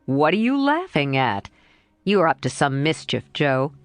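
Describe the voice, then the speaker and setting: A woman's voice: sing-song, measured pace, dry recording, soft background music. A woman doing a teasing young man. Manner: lightly lowered, coaxing, playful.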